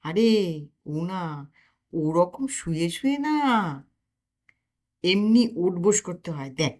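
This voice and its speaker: A woman's voice: on the low side, lively intonation, slow pace, dark tone. A woman voicing a boastful young man who loves exercise and lectures his friend. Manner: lecturing, showing off.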